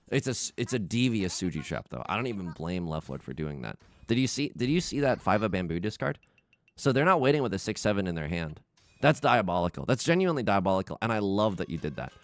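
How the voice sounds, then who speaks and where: Young man, excitable gameplay commentator; lively up-and-down delivery, jokey, trips over restarts, faint game music underneath. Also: fairly low pitch. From China, raised in the US. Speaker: let's play host.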